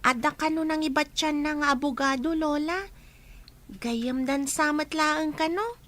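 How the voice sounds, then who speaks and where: A cheeky yet timid village kid in an Ilocano radio drama. The voice is extremely high, with an Ilocano accent. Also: female voice, measured pace, fairly bright tone. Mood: cheeky, reluctant.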